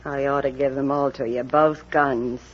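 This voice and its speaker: Sly low-voiced radio femme fatale. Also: female voice, flat delivery, in a large hall. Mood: cold, menacing. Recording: dull lo-fi vintage radio recording.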